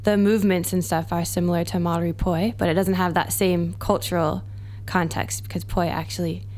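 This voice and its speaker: A woman's voice: on the low side, level tone, moderate pace, darkish tone. A young American woman, a doctoral researcher, chatting casually as a guest and laughing easily. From United States. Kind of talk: casual interview chat.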